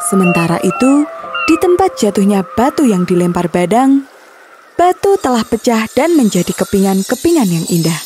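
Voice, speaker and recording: A woman's voice: medium pitch, big pitch swings, normal speed, music in the background. A woman narrating a scripted children's story as storyteller.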